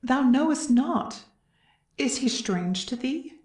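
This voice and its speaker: A woman's voice: sits high, lively intonation, slow pace, darkish tone. A woman playing a solemn, anxious chorus member in Greek verse tragedy, declaiming earnestly. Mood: grave, questioning. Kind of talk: classical verse drama reading. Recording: echoey room.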